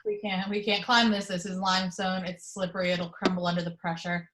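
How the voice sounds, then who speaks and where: A woman's voice: on the low side, level tone, brisk, reverberant, background noise, close to an everyday voice. A woman reading the part of an earnest, level-headed young man in an amateur horror screenplay table read. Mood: focused.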